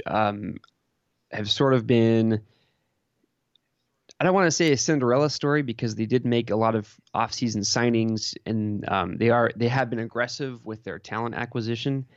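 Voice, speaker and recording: A man's voice: mid-range voice, moderate pace, no room sound, highs cut off. A man co-hosting a podcast in informal, conversational sports and hobby chat.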